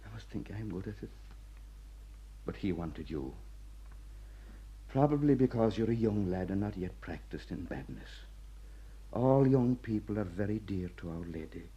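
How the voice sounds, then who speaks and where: An actor playing an older Irish prison warder, gruff yet fatherly, lecturing wryly in a radio play. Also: fairly low pitch, lively intonation, moderate pace, long pauses, mellow, muffled recording, close to an everyday voice. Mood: gently persuasive.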